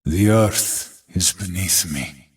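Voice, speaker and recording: Battle-hardened game warrior, very husky and gritty, low-pitched, solemn, resolute. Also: male voice, full character voice. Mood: grave, resolute. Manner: solemn, deliberate declamation. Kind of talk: video game character voice lines.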